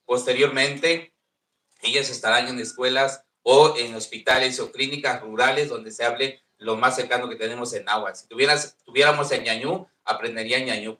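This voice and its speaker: A man's voice: medium pitch, bright, forceful tone. A man with a Mexican Spanish accent whose first language is Nahuatl, speaking earnestly and proudly as a teacher at a panel, often repeating himself. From Veracruz, Mexico. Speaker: Nahuatl teacher and contest winner. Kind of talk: formal speech at a panel. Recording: echoey room, distant mic.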